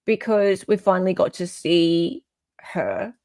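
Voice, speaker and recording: A woman's voice: fairly low pitch, slow, a little mellow, dry recording. An Australian woman hosting a live stream in casual, opinionated chat, often checking for agreement as she goes.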